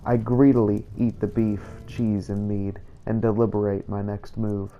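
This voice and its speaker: A man narrating a video game role-play in the first person, with measured, formal storytelling phrasing. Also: fairly low pitch, level tone, muffled recording. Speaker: gameplay host and role-player. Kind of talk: first-person in-character scripted-style narration.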